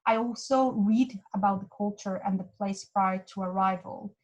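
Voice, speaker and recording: A woman's voice: medium pitch, fairly even intonation, normal speed, darkish tone, highs cut off. Woman giving a talk. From Mexico. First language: Spanish. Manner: earnest, with frequent restarts and repeated words. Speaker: guest speaker. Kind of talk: presentation talk.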